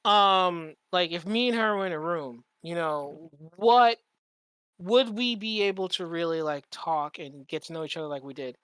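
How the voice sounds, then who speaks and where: A man's voice: high-pitched, expressive, moderate pace, a bit bright, clean tone, no echo. A man talking casually as a podcast co-host, rambling and candid, swearing freely. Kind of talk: casual chat.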